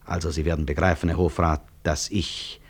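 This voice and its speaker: Weary, husky, deep-voiced professor. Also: male voice, little pitch movement. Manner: reflective, articulate, deliberate stage delivery. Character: weary, proud male physician-professor, disillusioned and wryly self-mocking. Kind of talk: 1960s German radio drama acting.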